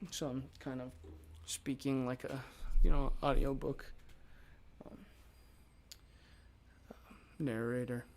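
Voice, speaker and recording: A man with a raspy, low voice from allergies, talking casually and rambling to viewers as he demonstrates mold casting. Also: level tone, slow pace, pauses often, darkish tone. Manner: rambling, with many fillers and restarts. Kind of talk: casual livestream talk to viewers.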